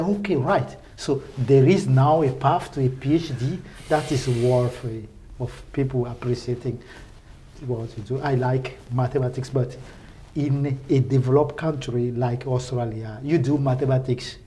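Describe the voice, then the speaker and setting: A middle-aged man from Benin, a native French speaker with a Francophone West African accent, talking enthusiastically as an interview guest in halting, repetitive phrases. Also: mid-range voice, steady tone, dark tone, low-quality recording. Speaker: research professor, podcast guest. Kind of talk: casual spoken interview.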